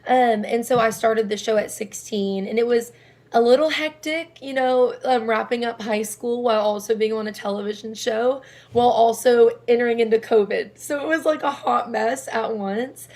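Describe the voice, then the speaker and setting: A young woman with a raspy speaking voice, though her pitch sits a little high for a woman. She answers interview questions in a chatty, rambling way, often laughing between her thoughts. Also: normal speed, a little mellow. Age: young adult. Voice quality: low raspy voice. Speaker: singer-songwriter guest.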